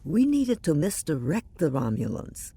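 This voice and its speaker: A woman playing a weary, resolute veteran starship captain in an audio drama, measured, dry and commanding. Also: low voice, very animated, dark tone, slightly rough, full character voice. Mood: dry, defiant. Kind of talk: science fiction audio drama acting.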